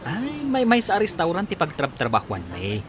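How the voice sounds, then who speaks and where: A man's voice: high voice, wildly swooping pitch, moderate pace. A man with an Ilocano accent playing an uneasy, evasive village man in a radio comedy drama. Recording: busy outdoor crowd and traffic behind.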